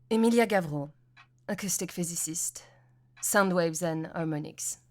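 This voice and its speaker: A composed woman scientist with a lowish voice for a woman, answering in a dry, matter-of-fact way. The recording is close and dead, with no room sound. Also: slow pace, no room sound. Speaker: acoustic physicist.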